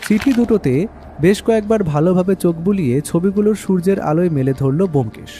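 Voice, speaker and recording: A man's voice: sits high, brisk, dark tone, natural voice. A man playing a detective's reflective companion, narrating a story in the first person. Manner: literary, reflective. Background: background music.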